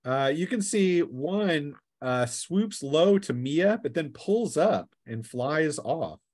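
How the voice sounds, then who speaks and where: A man's voice: on the high side, expressive, a little mellow, dry recording, studio quality. Casual man running a tabletop game. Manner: casual, halting narration mixed with rules talk. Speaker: game master. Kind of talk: narrating a tabletop role-playing game.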